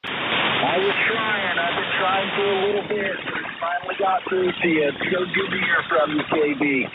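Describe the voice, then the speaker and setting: Male radio caller, high-pitched, casual, repeating words for clarity through a tinny narrow radio link. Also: fairly even intonation, moderate pace. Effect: two-way radio. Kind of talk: casual ham radio chat. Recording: very noisy, narrow ham radio signal.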